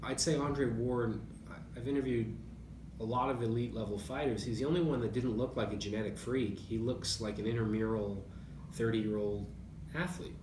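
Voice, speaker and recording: A man speaking as an interview guest in a thoughtful, measured, anecdotal way, telling stories conversationally about sport. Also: medium pitch, fairly even intonation. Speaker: interview guest, boxing writer. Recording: roomy, distant mic, noticeable background noise.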